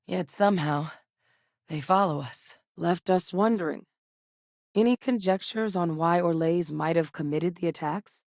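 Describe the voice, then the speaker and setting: A brisk, dry military scientist. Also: female voice, on the low side, a little mellow, dry recording. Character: tough, no-nonsense female military scientist. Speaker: game character voice lines. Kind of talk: video game dialogue.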